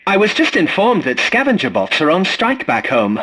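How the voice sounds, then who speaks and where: An actor playing a fussy, polite, snarky scavenger robot in a video game, with a prim, formal and dryly sarcastic delivery. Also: male voice, high voice, huge pitch swings, moderate pace, bright, forceful tone, breathy edge, full character voice. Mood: dry, grumbling. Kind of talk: scripted video game character lines.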